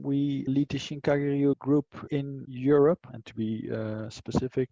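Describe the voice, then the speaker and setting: A man in his thirties with a Dutch accent, a martial arts teacher talking casually as an interview guest, thoughtful and pausing often with fillers and restarts. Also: mid-range voice, warm tone, no room sound, dull audio. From Netherlands. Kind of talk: casual conversation.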